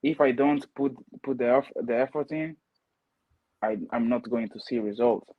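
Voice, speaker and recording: A man's voice: medium pitch, moderate pace, narrow, tinny audio. A young man with a heavy Italian accent, a guest answering earnestly and often checking in with "okay?". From Italy. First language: Ghanaian language. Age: young adult. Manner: earnest, often tags lines with "okay?" and restarts words. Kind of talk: casual interview answers.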